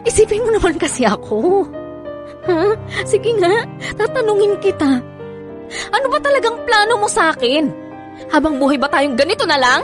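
A hurt mistress in filipino radio drama, super high voice, swoopy, pushy and pleading. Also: female voice, sing-song, moderate pace, music in the background. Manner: pleading, confrontational, emotional. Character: hurt, insistent young mother, a married man's mistress.

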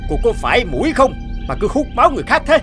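A man's voice: very high voice, big pitch swings, racing, music in the background. A man voicing a defensive young husband in a cartoon, speaking bluntly. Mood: angry. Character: young husband, guilty then angry. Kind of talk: cartoon dub acting.

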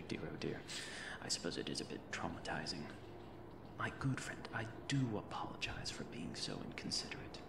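Creaky, creepy-cheerful mad captor played by a man. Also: low voice. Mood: apologetic, rambling. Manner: theatrical, digressive, politely fussy, macabre. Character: creepy yet cheerful mad reanimator captor, rambling and eccentric. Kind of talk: ASMR roleplay monologue. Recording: roomy echo with noisy ambient backdrop.

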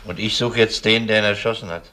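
An actor playing a matter-of-fact homicide detective in charge, in a German crime radio play. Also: male voice, fairly low pitch, steady tone, fast talker, sharp tone. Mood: probing.

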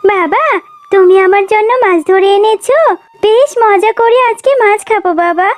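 A woman's voice: very high voice, normal speed. A woman voicing an eager, kind little fox daughter in a children's cartoon, childlike and emphatic. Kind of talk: Bengali children's cartoon dialogue.

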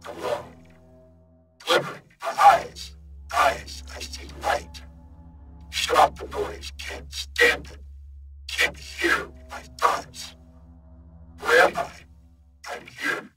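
A performer voicing a lost, disembodied human mind, whispering in broken fragments with sudden loud outbursts, panicked and confused. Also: medium pitch, slow, pauses often, loud music behind the voice, natural voice. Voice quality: mostly whispered, breathy. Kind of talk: horror audio reading.

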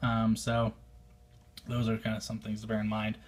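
An American man talking casually and unscripted as a hobbyist vlogger, thinking aloud and hedging his opinions in a slightly husky voice. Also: fairly low pitch, completely flat delivery, normal speed. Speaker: amateur radio hobbyist, vlogger. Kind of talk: casual unscripted review to camera.

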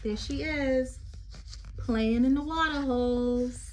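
A middle-aged woman reads a children's poem aloud in a high voice. Her pace is very unhurried, with drawn-out, sing-song emphasis and chatty teacherly asides. She is indoors in a small room, recorded on a phone at arm's length. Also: a little mellow, a little hiss or hum, rough recording. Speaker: teacher reading to her class. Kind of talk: expressive picture-book read-aloud of a poem for young children.

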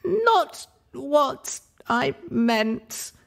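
A man's voice: very high-pitched, sing-song, extremely slow, gravelly, roomy sound, far from the mic, pitched above the performer's own voice, exaggerated voice. A man voicing an earnest, anxious young female pony in a story, with a strained, hesitant delivery. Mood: indignant.

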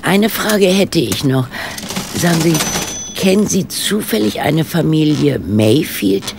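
Nosy older lady sleuth, radio play, polite but wry, very gravelly, noisy background. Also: deep voice, lively intonation, slow pace, exaggerated voice. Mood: polite, curious. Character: inquisitive older female amateur sleuth, polite and shrewd. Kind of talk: German radio play acting.

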